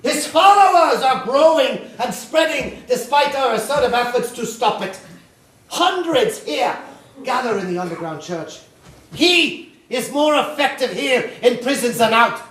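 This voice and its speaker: A man's voice: very high-pitched, expressive, measured pace, full character voice. A man acting a fanatical, menacing Nazi officer in a stage play, with pompous, declamatory, volatile delivery. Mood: agitated. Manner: pompous, declamatory, with bursts of shouting. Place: indoor theatre stage, recorded from a distance. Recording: echoey stage hall, distant mic.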